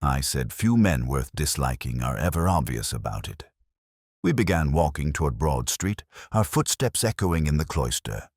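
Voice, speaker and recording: Male narrator for literary detective fiction: extremely low pitch, expressive range, measured and brooding, close dry sound. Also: breathy edge, no echo. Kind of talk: scripted first-person detective story narration.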